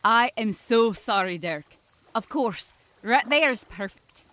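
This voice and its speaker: A woman's voice: a little high, lively intonation, slow, fairly bright tone. A woman playing a stately, helpful elder of magical water folk, speaking formally and grandly in a fantasy comedy audio drama. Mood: gracious. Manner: formal, grandly archaic diction.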